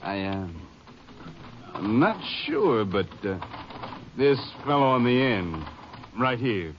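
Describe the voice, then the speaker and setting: Hesitant rancher, unhurried, medium pitch, halting delivery, vintage radio with faint noise. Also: male voice, big pitch swings. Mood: uncertain. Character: hesitant, careful rancher witness. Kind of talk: 1950s radio drama acting. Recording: muffled old radio broadcast, noisy.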